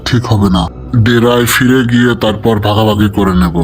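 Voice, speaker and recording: A hard-edged bandit chief, as a man acts him out snaps orders at his gang in a low, gruff voice. He sounds wary and in charge. A cartoon music bed plays under him. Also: fairly even intonation, fairly quick, lightly acted. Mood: urgent. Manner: commanding, brusque orders.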